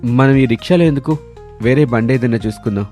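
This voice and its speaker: A man's voice: mid-range voice, quick pace, mellow, soft background music, lightly acted. A man voicing an ambitious, self-serving grown son in scripted story dialogue. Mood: cold, dismissive.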